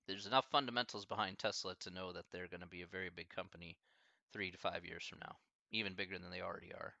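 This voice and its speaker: A man's voice: low voice, fairly even intonation, fast, vocal fry, sharp tone, no room sound, dull audio. A man with a Midwestern American accent, a math instructor chatting casually with his online class, thinking aloud with lots of fillers.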